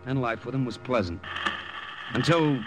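An actor playing a steady, earnest secret agent captain in an old-time radio drama, with a clipped military delivery. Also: male voice, medium pitch, normal speed, close to an everyday voice, poor audio. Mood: reflective narration. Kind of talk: 1940s-50s radio drama acting and narration. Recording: vintage radio drama with music behind.

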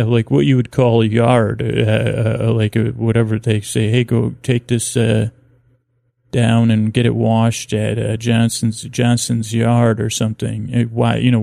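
A man hosting a bedtime podcast, talking in a halting, meandering, improvised monologue with a creaky voice that drifts into tangents. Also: medium pitch, warm tone, dry recording, clean studio sound. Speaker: host of a bedtime story podcast. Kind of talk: rambling, improvised sleep-aid monologue.

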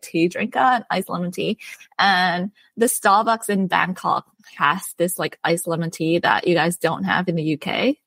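A young woman from Thailand, a guest author, speaks in a fairly low voice. Her talk is chatty and friendly, peppered with fillers. The sound is close and dry, with no room in it. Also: a bit bright, slightly rough, no echo. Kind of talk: casual interview chat.

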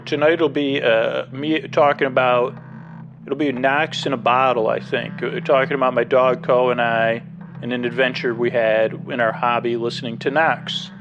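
A man hosting a sleep podcast, talking in a halting, meandering way that trails off and circles back in rambling bedtime talk. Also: mid-range voice, vocal fry. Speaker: podcast host.

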